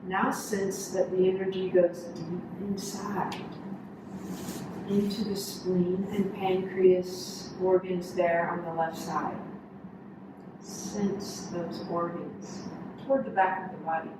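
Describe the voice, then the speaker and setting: Slow-paced woman guiding meditation in echoey room. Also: lowish voice. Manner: calm, instructional, short measured phrases with pauses. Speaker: yoga instructor. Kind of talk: guided body scan meditation. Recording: echoey room, distant mic, noisy background.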